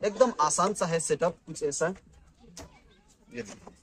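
A chatty man in his thirties talks viewers through camping gear in a casual home vlog, often stopping to restart a phrase. His voice sits a little high for a man. He is recorded on a phone camera, close up indoors and then farther off on an open rooftop. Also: expressive, slow pace, a bit bright. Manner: chatty, many fillers and restarts, addresses viewers as guys. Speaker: vlogger reviewing gear. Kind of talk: casual product unboxing vlog.

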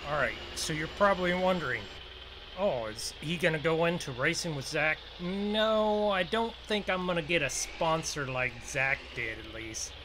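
A man's voice: fairly high pitch, animated, normal speed. A man improvising farmer roleplay for a gaming video, rambling in a folksy way as he thinks aloud. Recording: noisy, vehicle engine sounds behind voice. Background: game engine and vehicle sounds.

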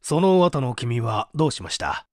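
A man reading dramatically as a formal prosecutor, questioning a suspect in a measured, pressing way. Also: mid-range voice, sing-song, no room sound, clean studio sound, natural voice. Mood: probing, stern.

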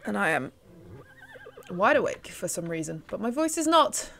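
A woman's voice: medium pitch, very animated, normal speed, gravelly, a bit bright. A British woman in her twenties, just woken up, chatting casually and giggling as she plays a horse game. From United Kingdom. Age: 20s. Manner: chatty, giggly, gushing over cute foals. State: just woken up, voice lower than usual. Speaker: gaming YouTuber. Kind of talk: casual let's-play commentary.

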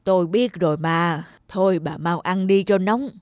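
A woman voicing an elderly grandfather who gently brushes off his wife's worry in a cartoon. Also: fairly low pitch, normal speed, no echo, lightly acted. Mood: reassuring. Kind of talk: cartoon voice acting. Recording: dry close studio sound.

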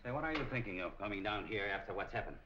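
A middle-aged actor playing a smooth-talking, cynical crime boss in a 1940s film, with a sardonic, casual manner. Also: male voice, lowish voice, expressive, racing, close to an everyday voice. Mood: suspicious. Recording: muffled, noisy 1940 film soundtrack.

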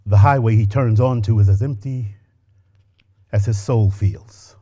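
Middle-aged male actor, on-stage story reading at a lectern, measured and expressive. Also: on the low side, husky. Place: stage with orange curtains. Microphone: lectern microphone.

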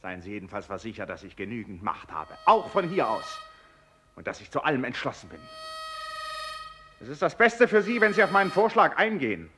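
An actor playing a brooding, resolute man confined in an asylum in a German radio play, speaking in a deliberate, introspective way. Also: medium pitch, sing-song, bright, forceful tone, faint music behind. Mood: insistent, demanding. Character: brooding, resolute male traveller confined in an asylum, torn by guilt. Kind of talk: 1950s-70s German radio play, monologue and dialogue.

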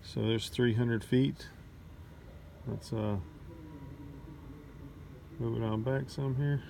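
Casual male vlogger, flat and creaky, unscripted, outdoor phone audio with light noise. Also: medium pitch, slow pace. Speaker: vlogger testing drone gear. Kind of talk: casual unscripted narration of a field test. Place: outdoors at night in a neighborhood. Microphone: handheld cell phone. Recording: noisy outdoor cell phone recording. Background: outdoor ambience and passing vehicle noise.

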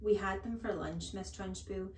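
A woman's voice: mid-range voice, moderate pace, darkish tone, far from the mic, close to an everyday voice. Woman reading as a plucky little schoolboy, echoey. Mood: earnest. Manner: earnest, frank, trying not to sound scared. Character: brave little schoolboy, polite, plucky, matter-of-fact. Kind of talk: children's storybook read-aloud. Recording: echoey room.

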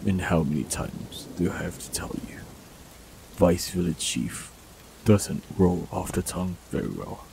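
A man's voice: sits low, unhurried, vocal fry, poor audio. A man voicing an ancient immortal male forest warlock in an audio roleplay, speaking with dry, teasing humor. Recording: steady rain noise behind voice. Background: rain.

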